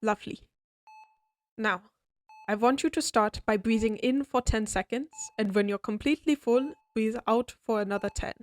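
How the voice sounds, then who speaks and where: A woman voicing a refined, friendly vampire doctor in a scripted roleplay, explaining things to the listener in a formal, measured, courteous bedside manner. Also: on the high side, close to an everyday voice. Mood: calm, soothing. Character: refined, oddly friendly vampire doctor, centuries-old aristocratic healer. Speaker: doctor character in a roleplay audio. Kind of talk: scripted comfort roleplay, spoken directly to listener.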